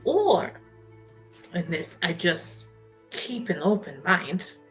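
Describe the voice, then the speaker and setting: A woman's voice: on the low side, big pitch swings, slow, a bit bright, soft background music. A woman talking casually as a player in a tabletop roleplaying game.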